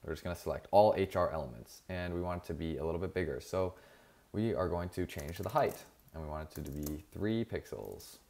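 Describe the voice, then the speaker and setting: A man narrating a screen-recorded coding tutorial in a casual, relaxed way, with frequent fillers and self-corrections. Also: deep voice, a little fast, creaky. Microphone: voice-over over screen recording.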